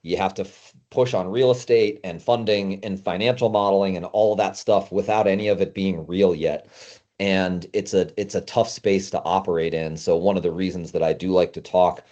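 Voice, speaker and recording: A man's voice: deep voice, steady tone, normal speed, warm tone, dull audio. A man talking informally and thinking aloud in a casual discussion about his trade, as a podcast host.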